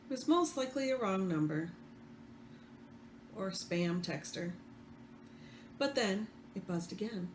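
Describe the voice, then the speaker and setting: Woman, author read-along, expressive story narration, occasional stumbles, roomy sound, faint background noise. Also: medium pitch, measured pace, long pauses. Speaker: author reading own book. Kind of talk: read-aloud fiction narration.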